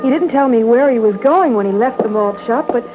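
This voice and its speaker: A woman's voice: a little high, brisk, a little hiss or hum, lightly acted. An actress playing an anxious, fretful teenage girl in old radio suspense style, with hesitant, stammering speech. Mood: troubled. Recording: muffled old radio broadcast with music.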